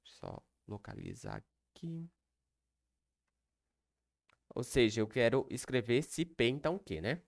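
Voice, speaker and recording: A man's voice: mid-range voice, big pitch swings, slow, vocal fry, long pauses. A young man, giving a recorded online lecture. He explains step by step and keeps checking in with the listener. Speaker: teacher.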